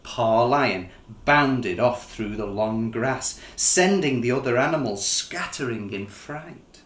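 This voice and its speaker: A middle-aged man reading a children's picture book in an expressive, measured, dramatic storytelling style. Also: medium pitch, slight background noise. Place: small living room, indoors. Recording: roomy living-room sound.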